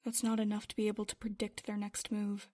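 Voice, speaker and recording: A woman's voice: medium pitch, completely flat delivery, fairly quick, warm tone, lightly acted. A woman playing a worried, self-doubting starship pilot in a roleplay series, earnest and hesitant. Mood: pensive. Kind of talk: Minecraft roleplay web series voice acting.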